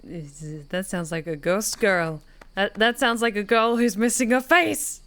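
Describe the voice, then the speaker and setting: A woman game streamer, reacting live and reading a visual novel aloud, expressive and emotionally invested. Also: medium pitch, measured pace, slight background noise.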